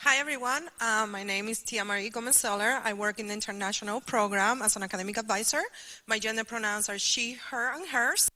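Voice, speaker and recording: A woman's voice: fairly high pitch, fairly quick, pushed and bright. A woman from southern Spain, a native Spanish speaker with a Spanish accent, introducing herself to a group in a chatty, joking way. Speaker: college academic advisor. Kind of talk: informal self-introduction to a group. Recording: reverberant hall.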